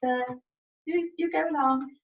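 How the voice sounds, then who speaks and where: Slow-paced woman tutor in an echoey room. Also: sits high, fairly even intonation. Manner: repeats words deliberately and spells out letters one at a time. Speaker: communication support partner helping a learner spell out words. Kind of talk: one-to-one lesson, coaxing and prompting. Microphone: video call, away from the microphone. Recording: echoey room, muffled distant mic, faint noise.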